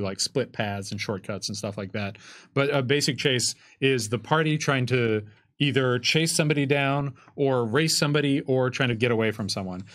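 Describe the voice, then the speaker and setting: A man in his thirties casually explaining tabletop game rules in a hesitant, loosely phrased way. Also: mid-range voice. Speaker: game designer and co-host. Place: indoor studio with curtain backdrop. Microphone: microphone on desk stand.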